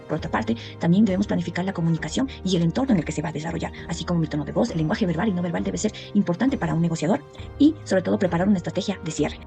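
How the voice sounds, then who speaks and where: Faint music sits behind a woman delivering an academic presentation. She talks very quickly in a dark, relaxed timbre. Her manner is didactic, listing and explaining each point in turn. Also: mid-range voice, vocal fry. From Ecuador. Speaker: university student presenter.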